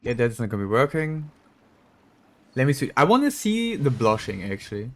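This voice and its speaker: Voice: mid-range voice, very animated, moderate pace. A game streamer giving casual, sweary live commentary, muttering to themselves while playing. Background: game music.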